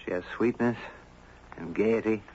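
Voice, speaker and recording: A man's voice: fairly low pitch, unhurried, dark tone, lightly acted. Radio play lead played by a man: earnest, searching, entranced fellow; dull, very noisy vintage sound. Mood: smitten. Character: earnest, inquisitive man, entranced by a strange girl. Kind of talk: 1970s radio drama acting. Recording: muffled, noisy 1970s radio recording.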